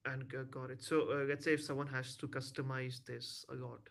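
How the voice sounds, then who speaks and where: Flat, creaky-voiced man co-hosting a demo. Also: medium pitch, a little fast. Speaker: co-host of product demo. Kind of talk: casual interjections and questions in a live demo.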